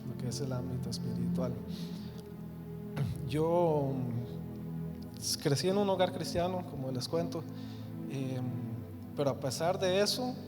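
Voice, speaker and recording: A man's voice: medium pitch, takes it slowly, pauses often. A young man in his twenties with a Costa Rican accent, telling his testimony in church, hesitant with many fillers and restarts. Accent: Costa Rican Spanish. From Costa Rica. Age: 20s. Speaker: guest giving testimony. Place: church hall. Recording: reverberant church hall. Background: soft guitar music.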